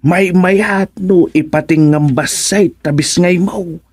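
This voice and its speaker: A man playing a jovial, teasing father in an Ilocano radio comedy, with an Ilocano accent and drawn-out exclamations. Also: high voice, fairly even intonation, darkish tone, breathy edge, no room sound, clean studio sound, heavily acted.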